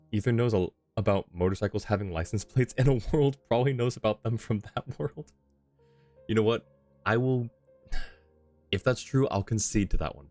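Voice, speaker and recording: Low-voiced man musing on a game livestream. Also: darkish tone, dry recording. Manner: musing, thinking aloud, analytical. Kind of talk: casual live-stream commentary, chatting with viewers. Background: game music.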